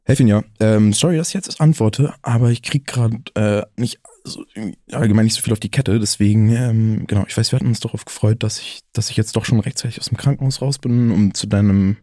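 Audio drama: man playing a dejected young activist, hesitant and self-deprecating, relaxed dark timbre, close dry recording. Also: lowish voice, no room sound, close to an everyday voice. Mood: downcast, apologetic. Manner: halting, hesitant, self-deprecating, repeats himself. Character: downcast young male activist leaving a voice message. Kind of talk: naturalistic audio drama, voice message.

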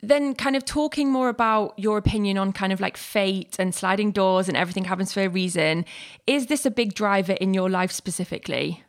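A woman's voice: medium pitch, a little fast. A woman hosting an interview podcast, asking questions in an encouraging, chatty way with many fillers. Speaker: podcast host. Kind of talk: conversational interview.

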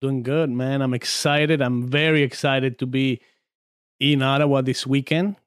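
A man's voice: medium pitch, steady tone, a little slow, clean tone, dry recording. A man in his forties from Argentina, a podcast guest chatting casually in a studio, telling stories with many fillers and restarts. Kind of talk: casual chat.

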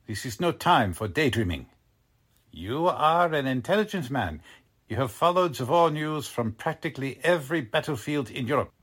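A man acting a scheming, haughty military officer and spymaster in a 1940s-style radio drama, with an imperious, theatrical, deliberate manner. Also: medium pitch, lively intonation, fairly bright tone, husky. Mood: coolly insistent.

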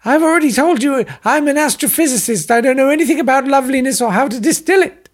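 A man voicing a desperate captive scientist, shrieking high and shrill. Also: quick pace. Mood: panicked, pleading.

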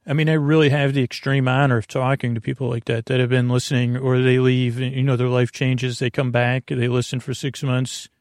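A middle-aged man with a gravelly, creaky voice at an ordinary pitch, wandering from thought to thought in a slow, goofy bedtime ramble. He interrupts himself and drifts off topic. The sound is dry and close, with no room around it. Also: level tone, a little mellow, no room sound. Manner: meandering, self-interrupting, goofy asides. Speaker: sleep podcast host.

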